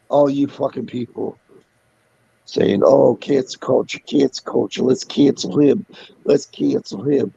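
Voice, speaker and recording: A man with a raspy, sick-sounding voice vents in a heated, profane rant. The voice is deeply gravelly and breathy. The sound is dry and muffled, with a lo-fi feel. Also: fairly even intonation, slow pace, warm tone, no echo. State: sick, hoarse. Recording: dull dry lo-fi audio.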